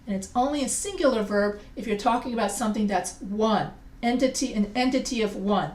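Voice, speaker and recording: Non-native english lady teacher going over grammar, fairly high voice, echoey room, kinda far from the mic. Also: a little mellow. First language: not English. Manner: explains slowly, repeats and restarts phrases. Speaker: writing teacher. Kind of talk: recorded grammar lecture.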